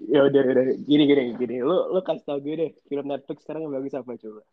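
A young man co-hosts a podcast in a Jakarta Indonesian accent. His talk is casual, slangy and full of teasing, at a medium pitch. The recording is dry, with a somewhat dull, lo-fi tone. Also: fast talker, no room sound. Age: young adult. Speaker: podcast co-host. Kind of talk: casual bantering chat.

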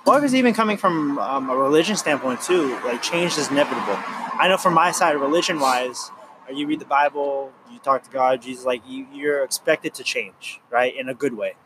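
A man's voice: mid-range voice, brisk, noisy background. A man in his twenties chatting casually with a friend, earnest and rambling, full of fillers and restarts. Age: 20s. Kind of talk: casual chat.

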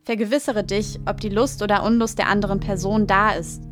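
A woman's voice: medium pitch, little pitch movement, a little fast, music in the background. A woman delivering a scripted, confiding monologue that addresses the listener directly as you. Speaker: performer in an educational audio play. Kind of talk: scripted personal monologue addressed to her younger self.

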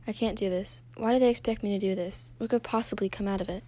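A woman's voice: mid-range voice, fairly even intonation, fast, mellow, natural voice. A woman playing a desperate fourteen-year-old girl in a story, dramatic, emotional and pleading. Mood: distraught.

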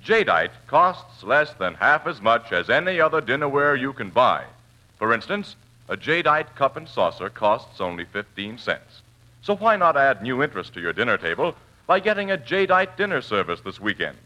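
A male announcer delivers a vintage radio commercial. His mid-pitched voice is bright and animated, with polished, emphatic salesmanship. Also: normal speed, low-quality recording. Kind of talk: 1940s radio commercial read.

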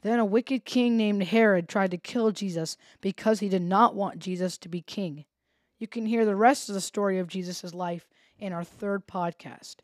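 A woman's voice: medium pitch, moderate pace, dry recording, clean studio sound. A woman hosting a children's podcast, narrating and discussing a Bible story. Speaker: podcast host.

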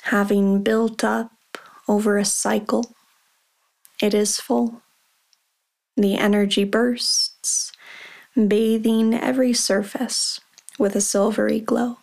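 A woman guiding a meditation in a slow, unhurried voice with a gravelly, fry-tinged texture. Her pitch stays level and even, with little rise or fall. She speaks softly and calmly, leaving long pauses between phrases. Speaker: meditation guide. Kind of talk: guided meditation.

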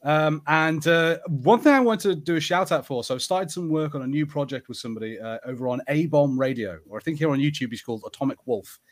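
A man's voice: fairly high pitch, fast talker, hardly any pauses. A man co-hosting a podcast, chatting casually like an enthusiastic fan. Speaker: podcast co-host.

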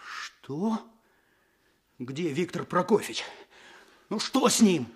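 A man playing an eager, excitable young police chief in a radio play, urgent and commanding. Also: high voice, takes it slowly. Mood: anxious. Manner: urgent, barking orders.

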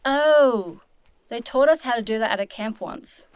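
A woman's voice: on the high side, expressive, measured pace, clean tone, no room sound. A woman playing a curious, uneasy young human traveller in a fantasy audio drama, speaking in an informal, chatty way. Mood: curious, upbeat.

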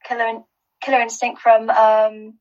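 A woman's voice: on the high side, monotone, moderate pace, highs cut off, noisy background. A woman who is a finalist guest, chatting casually, rambling with lots of restarts and giggles. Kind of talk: casual chat.

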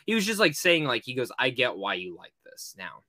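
A man's voice: medium pitch, big pitch swings, brisk, bright, forceful tone, no room sound, clean studio sound. A man hosting a casual film review podcast, speaking informally and emphatically, often repeating phrases for stress.

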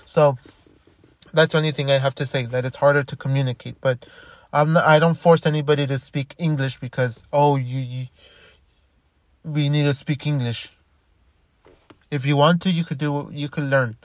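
Man, casual rambling monologue, many restarts, slightly high voice, dry close sound. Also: fairly even intonation, normal speed, long pauses, no room sound. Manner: rambling, frequent restarts and repeated words. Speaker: commentator.

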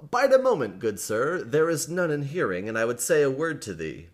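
Man doing a cool steady guy from an old story, slow careful thee-and-thou, a bit raised. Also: mid-range voice, pitched above the performer's own voice, natural voice. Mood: low urging. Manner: measured, deliberate archaic speech. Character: calm, tranquil grown man, steady and persuasive.

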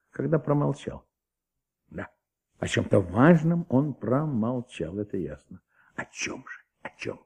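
A man's voice: medium pitch, vocal fry, mellow, husky, full character voice. An actor playing a brooding, stubborn police commissioner in a radio play, muttering his questions as he ponders aloud. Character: brooding middle-aged French police commissioner, stubborn detective. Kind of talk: Soviet radio play acting.

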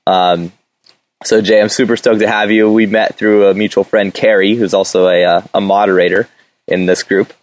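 Upbeat low-voiced man hosting a podcast. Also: little pitch movement, normal speed, no room sound. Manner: upbeat, informal, with fillers. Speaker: podcast host. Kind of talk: casual interview talk.

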